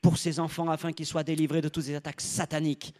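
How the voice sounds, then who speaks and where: A man interpreting a sermon live, phrase by phrase, in a halting way, rephrasing as he goes. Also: a little high, flat delivery, a little mellow.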